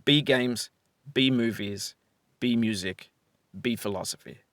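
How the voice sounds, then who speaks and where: A man giving reflective scripted narration as a game developer, in a measured, articulate, philosophical manner. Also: mid-range voice, a bit bright, no echo, clean studio sound.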